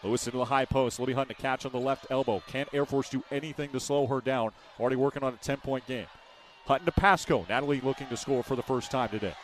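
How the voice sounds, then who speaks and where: A man's voice: medium pitch, fairly even intonation, fast, slight background noise. Man calling live basketball play-by-play. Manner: clipped, descriptive phrases. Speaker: radio play-by-play announcer.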